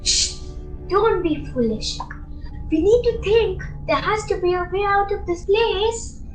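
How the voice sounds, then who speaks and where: A woman's voice: very high-pitched, unhurried. A woman playing an anxious young child in a radio play, hesitant but urgent. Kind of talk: amateur radio play acting. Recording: distant, echoey room with faint music.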